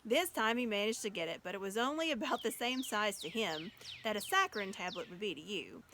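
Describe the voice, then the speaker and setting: A woman's voice: medium pitch, expressive, fairly quick, bright, forceful tone. A woman narrating a children's story expressively as an audiobook reader. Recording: faint background noise.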